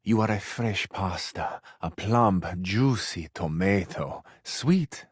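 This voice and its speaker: A man's voice: on the low side, lively intonation, slow, husky, no echo, clean studio sound, full character voice. A man playing a flamboyant, vain Italian lover in a video game, speaking with an Italian accent in an effusive, florid, romantic manner. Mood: seductive. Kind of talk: theatrical video game character acting.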